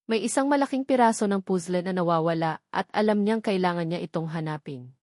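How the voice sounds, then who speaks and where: A woman narrating a scripted romance story, reading in a steady, dramatic way. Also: lowish voice, fairly quick, no room sound, studio quality.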